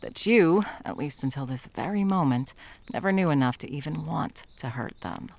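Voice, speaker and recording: A woman playing a stern, condescending yet tender monster hunter in an ASMR roleplay, commanding and dropping often into breathy whispers. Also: deep voice, animated, moderate pace, a little mellow, exaggerated voice. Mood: coldly condescending. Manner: commanding, condescending, with pet names.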